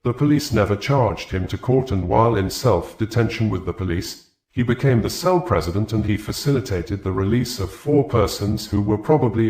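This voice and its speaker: Echoey low-voiced male news reader. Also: darkish tone. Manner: formal, reading aloud. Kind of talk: scripted news narration, reading out statements. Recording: echoey, roomy voice recording.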